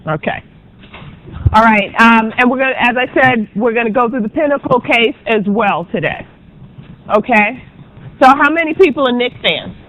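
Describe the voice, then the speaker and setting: Loud chatty woman lecturing a class. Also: fairly high pitch, expressive. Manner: loud, chatty, brisk teacher with frequent ums. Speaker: auditing professor. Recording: dull lo-fi lecture recording.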